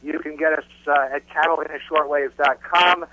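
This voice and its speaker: A man's voice: a little high, fairly even intonation, moderate pace, sharp tone, dry recording. A man speaking as a musician guest in a casual radio interview, emphatic and often repeating his phrases for weight. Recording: dull, noisy phone-like radio line.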